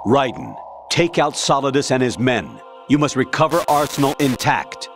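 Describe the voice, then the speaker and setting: A man's voice: medium pitch, big pitch swings, moderate pace. An actor playing a stern older male military colonel in a video game, commanding and formal but eerily erratic. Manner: commanding, clipped, formal, sliding into rambling non sequiturs. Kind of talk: dramatic video game voice acting. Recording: music behind the voice. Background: loud music.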